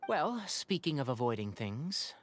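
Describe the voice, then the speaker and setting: A man's voice: mid-range voice, very animated, a little slow, slight background noise. A man playing an easygoing, sociable young traveling companion in a video game, breezy and courteous in delivery. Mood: wry.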